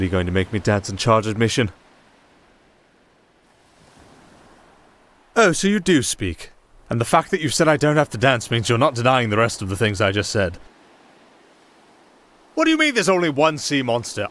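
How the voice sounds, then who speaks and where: A man's voice: medium pitch, sing-song, a little fast, a bit bright. A man playing a wry, nervy castaway entertainer in an ASMR roleplay, sarcastic and exasperated as he talks to an unheard partner. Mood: indignant, sarcastic. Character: young male wandering entertainer, sardonic, reluctant, nervy castaway. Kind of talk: ASMR roleplay acting, one-sided dialogue.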